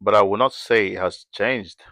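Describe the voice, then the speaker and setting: A man in conversation as an interview guest, speaking haltingly with repeated words and frequent restarts. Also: lowish voice, bright, forceful tone, no echo.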